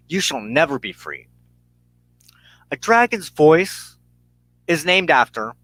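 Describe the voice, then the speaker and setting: A man hosting as a minister, delivering an impassioned scripted monologue in an emphatic, oratorical way with deliberate dramatic pauses. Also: a little high, fairly bright tone, no room sound, poor audio.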